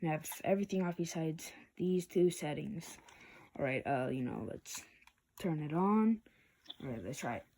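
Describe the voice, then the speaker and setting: Casual vlog reviewer, soft mellow tone, informal and rambling, slightly hesitant delivery. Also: mid-range voice, animated, normal speed. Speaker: video reviewer.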